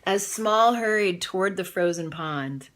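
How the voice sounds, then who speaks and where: A woman reading a children's storybook aloud in an expressive, dramatic way. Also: lowish voice, measured pace. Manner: animated, dramatic read-aloud delivery. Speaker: storybook reader. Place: in bed, indoors.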